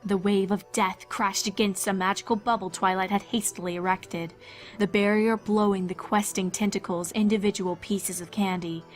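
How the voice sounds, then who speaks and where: A woman narrates a horror story in a dramatic, scripted style. Her voice is medium in pitch, and music plays clearly behind her. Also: fairly even intonation, normal speed. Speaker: fan-fiction narrator.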